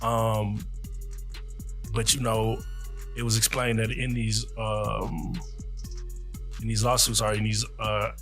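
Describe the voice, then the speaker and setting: A man interviewing conversationally, with halting phrasing. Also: fairly low pitch, monotone, fairly bright tone, soft background music.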